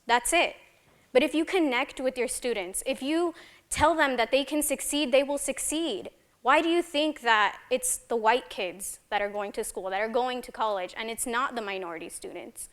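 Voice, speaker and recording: A young woman student from El Salvador speaks on a town hall panel in a fairly high voice. She is passionate and poses pointed rhetorical questions. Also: normal speed. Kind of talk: impassioned panel remarks.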